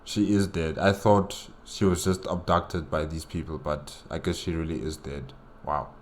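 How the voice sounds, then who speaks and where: Low-voiced man thinking aloud. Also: steady tone, normal speed, a little mellow, a little hiss or hum. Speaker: reaction video host. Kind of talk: casual reaction commentary.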